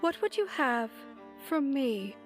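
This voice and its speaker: High-voiced, courteous Duchess. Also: female voice, very animated, slow, over music, exaggerated voice. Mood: courteous, curious. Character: kind-hearted, courteous young noblewoman, a Duchess. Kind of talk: audio drama acting.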